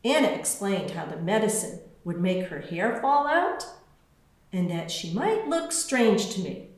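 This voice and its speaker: Female children's book reader, unhurried and very animated in pitch, heard in a strongly reverberant room. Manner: expressive, deliberate storytelling with spaced phrases. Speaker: author reading her own book. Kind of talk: children's picture book read-aloud. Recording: very echoey room.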